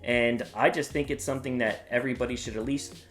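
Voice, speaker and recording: A man's voice: mid-range voice, fairly even intonation, quick pace, fairly bright tone, faint music behind. A man hosting his own channel, talking casually and upbeat through a gadget review with a few fillers. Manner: upbeat, plain-spoken, with some "uh" fillers. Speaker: product reviewer and channel host. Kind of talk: casual product review vlog.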